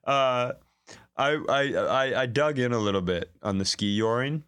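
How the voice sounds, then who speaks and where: A man's voice: mid-range voice, a little slow, clean tone. A man co-hosting a comedy podcast in casual, profane, joking chat, speaking into a room microphone. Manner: profane, animated banter. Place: studio room.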